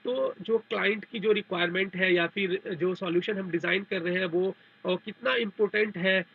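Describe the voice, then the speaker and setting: Male technical trainer, high-pitched with a gravelly edge, methodical step-by-step explainer, dull narrow recording. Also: fairly even intonation, normal speed. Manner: repetitive, step-by-step explaining with English technical terms. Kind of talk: explanatory tutorial over a diagram.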